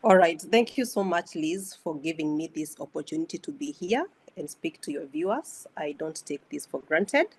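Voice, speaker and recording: A woman's voice: low-pitched, expressive, moderate pace, hardly any pauses, a little mellow, slightly rough, poor audio. Kenyan woman telling her story. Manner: chatty, with many filler sounds and restarts. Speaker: guest.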